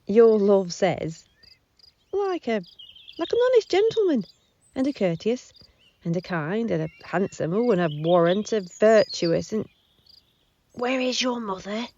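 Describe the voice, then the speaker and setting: A woman's voice: mid-range voice, sing-song, measured pace, pauses often, warm tone. Woman playing a weary, swooping old nurse. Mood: teasing. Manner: breathless, stalling and rambling. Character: weary, teasing older female nurse, servant and go-between. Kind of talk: Shakespeare audio drama acting. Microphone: phone, recorded at home. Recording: muffled lo-fi home phone recording.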